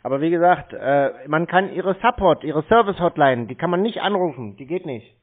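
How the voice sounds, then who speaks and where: A man's voice: on the high side, expressive, normal speed. A man in a casual phone conversation, talking as a customer over a tinny line. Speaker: scam-baiting show host posing as a customer on the phone.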